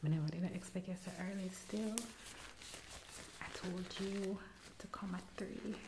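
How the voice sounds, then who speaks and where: A woman in her thirties with a Jamaican accent, voicing a rude, impatient barber in a soft-spoken ASMR roleplay, recorded close to a binaural mic in a small room. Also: mid-range voice, fairly even intonation, measured pace, noisy background, lightly acted. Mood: curt. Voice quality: soft-spoken, often whispering. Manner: curt and scolding. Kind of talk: ASMR personal attention roleplay. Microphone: close binaural mic, leaning toward the camera.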